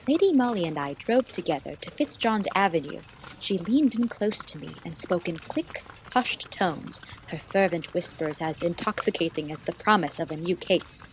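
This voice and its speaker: Woman acting as a detective's sidekick, radio play narration, eager, dramatic, animated pitch, faint noise. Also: moderate pace, close to an everyday voice, poor audio. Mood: eager narrating. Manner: expressive, dramatic.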